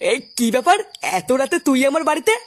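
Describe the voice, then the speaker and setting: A woman's voice: high voice, animated, quick pace. A woman with a West Bengal Bengali accent voicing a blunt, irritable, foul-mouthed family man in a comedy cartoon. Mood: suspicious, startled. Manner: scolding, blunt.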